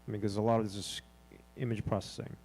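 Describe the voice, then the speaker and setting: A man with a deep voice in the audience, asking a question in a halting way with many ums. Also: little pitch movement, darkish tone, a little hiss or hum.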